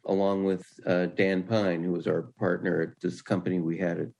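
A man's voice: sits low, level tone, moderate pace, gravelly, darkish tone. An older man, a writer being interviewed, talking casually and anecdotally. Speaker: interview guest, screenwriter.

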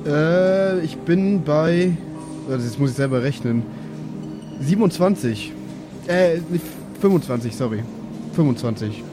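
A man's voice: a little high, lively intonation, unhurried. A man improvising in character as a tabletop role-playing player, casual and colloquial, with music behind him.

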